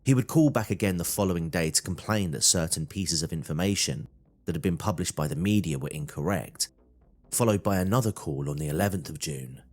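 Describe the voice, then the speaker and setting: A man's voice: low-pitched, fairly quick, soft background music. A man narrating a true-crime documentary from a script.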